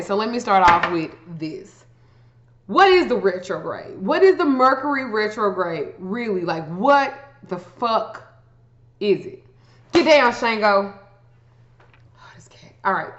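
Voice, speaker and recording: A woman's voice: mid-range voice, fairly bright tone. Blunt, joking woman vlogger, echoey muffled sound. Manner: blunt, profane, joking, conversational asides to viewers. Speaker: astrology vlogger giving tips. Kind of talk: casual explainer chat to camera. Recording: muffled, echoey room recording.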